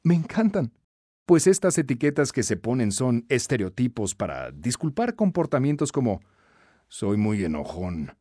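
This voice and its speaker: A man narrating a scripted self-help audiobook in an emphatic, instructive, expressive way. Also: medium pitch, moderate pace, breathy edge, dry recording, studio quality.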